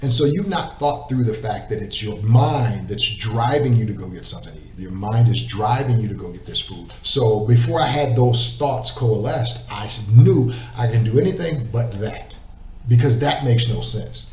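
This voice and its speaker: A man's voice: medium pitch, expressive, normal speed, a little mellow. A middle-aged man telling his faith story as an interview guest, emphatic, repeating words and asking "right?". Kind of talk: earnest faith testimony. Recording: roomy echo, distant mic, faint noise.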